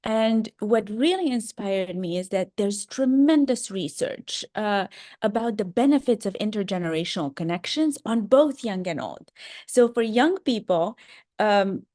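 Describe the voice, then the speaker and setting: A woman's voice: medium pitch, mellow, dry recording, studio quality. A woman from Romania with a slight Romanian accent, giving a career talk to students and speaking earnestly, with many ums and restarts. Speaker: startup founder and CEO.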